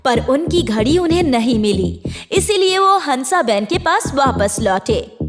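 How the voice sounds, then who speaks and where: Woman narrating a children's story, unhurried. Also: sits high, big pitch swings, over music. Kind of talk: scripted storytelling narration for a children's moral cartoon.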